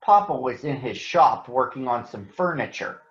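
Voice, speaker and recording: An American man addressing children and reading a picture book aloud in a deliberate, announcer-like way. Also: mid-range voice, animated, a bit bright. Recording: echoey room, slightly dull sound.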